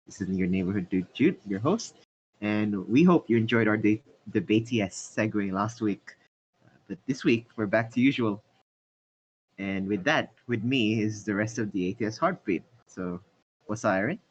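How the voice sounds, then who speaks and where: A young man hosting a casual podcast, talking in a relaxed conversational way with some fillers. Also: lowish voice, normal speed, slightly rough. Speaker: podcast host. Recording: dull lo-fi mic.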